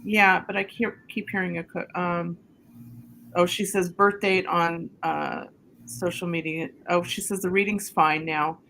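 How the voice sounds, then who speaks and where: A woman's voice: on the low side, steady tone, a little slow, gravelly, fairly bright tone, slight background noise. A woman hosting a livestream over a video call, hesitant and full of ums as she waits for viewer comments. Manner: hesitant, many ums while waiting for comments. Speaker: livestream host.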